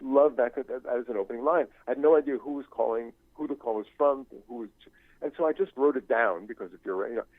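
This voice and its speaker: An older man speaking as an interview guest in a reflective, conversational way, haltingly searching for his words. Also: mid-range voice, dry recording. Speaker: interview guest, novelist and screenwriting teacher. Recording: thin phone-like line.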